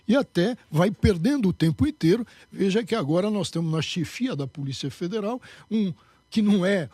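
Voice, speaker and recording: A man's voice: sits high, mellow, no echo. A man, a candidate and jurist, speaking emphatically in a political interview. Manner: emphatic, lecturing.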